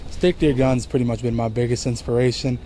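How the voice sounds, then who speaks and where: A man's voice: medium pitch, fairly even intonation, fast talker, dark tone, a little hiss or hum. A twenty-year-old man in a band, answering in a joking, self-mocking way. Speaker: band member. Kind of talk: casual interview answers. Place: outdoors at a music venue.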